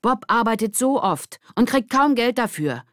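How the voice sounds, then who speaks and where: Woman doing an eager schoolgirl gang boss, pitched up, bouncy, kids audiobook. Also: animated, moderate pace, dry recording, studio quality, natural voice. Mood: flustered, improvising. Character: lively, quick-witted schoolgirl gang leader.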